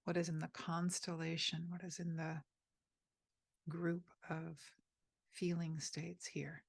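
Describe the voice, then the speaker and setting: Slow, husky-voiced woman guiding meditation. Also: sits low, flat delivery, no room sound. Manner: slow, soft and gently inviting, with pauses. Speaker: meditation teacher. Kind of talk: guided meditation.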